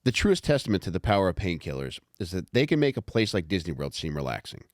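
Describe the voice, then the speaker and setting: Deep, expressive man chatting candidly. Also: fast talker, no room sound, clean studio sound. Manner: informal, candid, rambling. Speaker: author commenting on his own posts. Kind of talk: casual podcast chat with read-aloud commentary.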